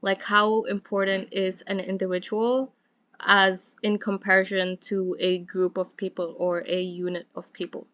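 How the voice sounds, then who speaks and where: Young woman, student interviewer, upbeat and encouraging, mid-pitched, muffled lo-fi sound. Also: flat delivery, measured pace, fairly bright tone. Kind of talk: casual interview hosting.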